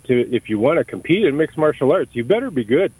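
A man's voice: a little high, sing-song, fast talker, darkish tone, breathy edge, no echo, rough recording. A middle-aged man from Canada, speaking as an interview guest in a thoughtful, searching way with many restarts and repeated words. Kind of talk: conversational interview.

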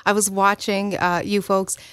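A woman's voice: mid-range voice, little pitch movement, unhurried, a bit bright, clean tone, no room sound. A woman co-hosting a morning radio show, enthusiastic and friendly.

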